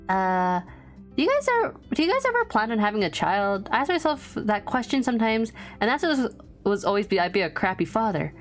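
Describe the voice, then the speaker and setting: A woman's voice: medium pitch, sing-song, moderate pace, pauses often, faint music behind. A young woman streaming while she draws, chatting casually with viewers in a giggly, rambling way. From Vietnamese family, born outside Vietnam. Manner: chatty and giggly, lots of restarts and fillers.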